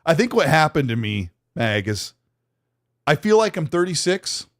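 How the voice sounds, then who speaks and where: A man in his late forties chats on a podcast with a lively, swooping delivery. He pokes fun at himself and laughs often, with his voice at a middling pitch. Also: normal speed. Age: 40s. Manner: self-mocking, laughs often. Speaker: podcast co-host. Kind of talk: casual podcast banter.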